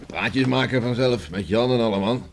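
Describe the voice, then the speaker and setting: An actor playing a hearty, shrewd middle-aged village father in a Dutch radio drama, gruffly affectionate. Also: medium pitch, normal speed, natural voice. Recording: noisy old radio play recording.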